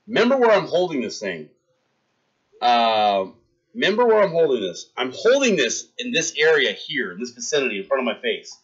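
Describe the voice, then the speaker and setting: A man in his thirties tells a story to his live stream chat with lots of energy, acting out each scene as he goes. His pitch stays in a middle register. The audio is a little dull, like a basic stream mic. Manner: animated, acts out scenes, talks to chat.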